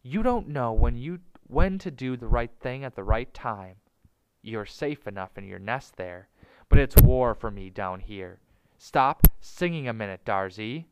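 A man voicing an impatient male mongoose hero in a storybook, brisk and commanding. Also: medium pitch, animated, darkish tone, smooth, poor audio. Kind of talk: storybook character voice.

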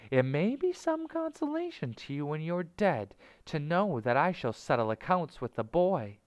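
Guy as a gloating lady cobra from a storybook, raised pitch, cold threats, taunting. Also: dry recording. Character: menacing, vengeful female cobra, coldly gloating. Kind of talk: storybook character voice.

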